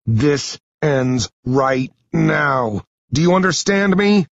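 Man playing tough teenage boy hero, commanding, threatening, husky edge, slightly dull recording. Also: lowish voice, animated, slow, no room sound, exaggerated voice. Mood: commanding, angry. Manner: forceful, commanding. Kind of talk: dramatic first-person audiobook dialogue.

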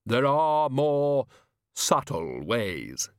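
A man voicing Death as a calm, ancient figure who speaks in a slow, measured, grave way. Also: medium pitch, no room sound, clean studio sound.

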